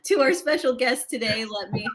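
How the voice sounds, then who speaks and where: A woman's voice: a little high, moderate pace, a crowd in the background. A woman hosting a live local talk show in an upbeat, chatty, enthusiastic and conversational way.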